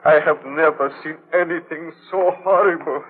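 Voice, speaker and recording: An actor playing a loyal, cautious and easily horrified friend, earnest and dramatic in 1930s radio style. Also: male voice, a little high, steady tone, normal speed, narrow, tinny audio, a little hiss or hum, natural voice. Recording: vintage 1930s radio broadcast.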